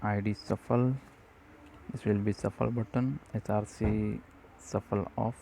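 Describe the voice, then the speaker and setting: A man slowly talking through app-building steps in a coding tutorial, dictating each step with short pauses and fillers. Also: on the low side, gravelly, slight background noise. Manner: slow, flat dictation of code steps with "uh" fillers. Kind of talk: screen-recorded coding walkthrough.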